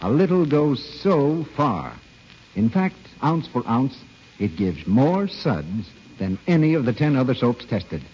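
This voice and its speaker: A man announcing on an old-time radio show, reading a scripted commercial in a polished, emphatic sales pitch. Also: sing-song, unhurried, a little mellow, slightly rough. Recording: muffled old radio broadcast.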